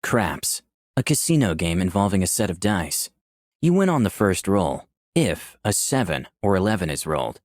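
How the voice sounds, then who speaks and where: An actor playing a composed, charming incarnation of lust, in visual novel dialogue and inner monologue. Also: male voice, low-pitched, big pitch swings, moderate pace, dry recording, clean studio sound. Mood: musing.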